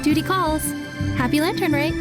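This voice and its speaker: A courteous, helpful festival guide from a video game, acted by a woman. Her voice is high, with a polite, reassuring delivery. Prominent music plays behind her. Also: fairly even intonation, moderate pace, close to an everyday voice. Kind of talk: video game character acting.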